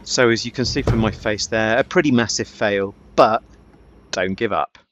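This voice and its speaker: A man's voice: on the low side, moderate pace, a bit bright, slight background noise. A man narrating a casual explanatory vlog, recorded with a dull, roomy sound. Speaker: vlogger, amateur coder.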